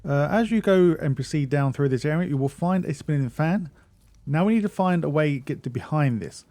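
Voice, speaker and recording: Mellow-voiced man guiding through a game. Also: fairly high pitch. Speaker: video game guide narrator. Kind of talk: casual walkthrough commentary.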